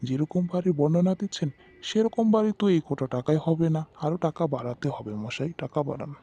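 A man acts out a haggling property broker for a horror cartoon. His voice is very mellow and dark, with a husky edge, and he bargains insistently. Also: fairly high pitch, lively intonation, quick pace, dull audio.

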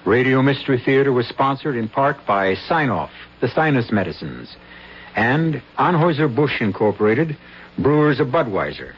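A man's voice: medium pitch, big pitch swings, normal speed, husky. A man hosting a 1970s radio mystery show with wry, theatrical scripted narration. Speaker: radio drama host. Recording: dull lo-fi 1970s radio broadcast.

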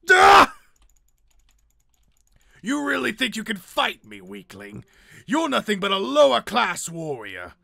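A man playing an arrogant, menacing alien warrior villain in an amateur anime game roleplay, taunting with deliberate menace. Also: high-pitched, huge pitch swings, vocal fry, pushed and bright, heavily acted. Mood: furious, boastful.

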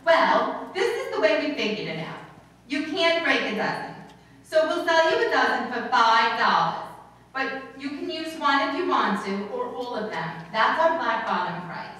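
A cheerful, muddle-headed and bossy wife from an old-time radio comedy, played by a woman. The voice is high and very lively, recorded at a distance in a heavily echoing hall. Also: moderate pace. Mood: perky, muddled. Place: stage in a hall before a seated audience. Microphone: far camera. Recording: very echoey room, distant camera microphone.

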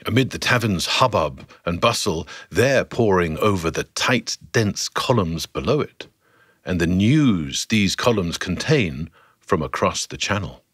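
A man narrating a scripted story for a podcast in a theatrical, measured way with dramatic pauses. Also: on the low side, a bit bright, husky, no echo, studio quality.

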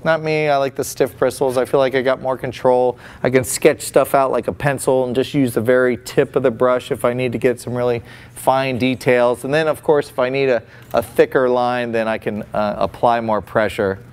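A man's voice: mid-range voice, steady tone, normal speed, smooth. A man in his forties giving a casual, folksy face painting demonstration with many restarts, indoors in a small room.

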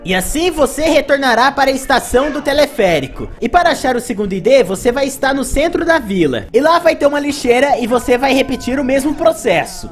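A man's voice: extremely high pitch, expressive, brisk, hardly any pauses, soft background music. A young man with a Brazilian accent giving casual narration for a video game review. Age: young adult.

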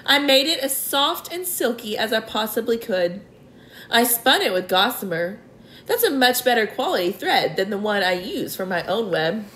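A woman's voice: fairly high pitch, big pitch swings, moderate pace, a bit bright. A woman voicing a gracious, refined female spider in a storybook reading. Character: gracious female spider, genteel and proud of her craft.

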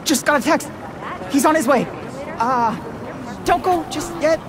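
A man playing an eager, romantic young student in a video game, excitable, chatty and sincere. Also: extremely high pitch, a little slow, a crowd in the background, background noise. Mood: excited, grateful. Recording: loud music over the voice. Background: loud game music.

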